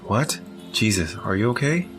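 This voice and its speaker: A man's voice: lowish voice, very animated, normal speed, soft background music. A man playing a grudging, irritable secret agent in an audio drama, dry and exasperated with his ex-lover. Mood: concerned.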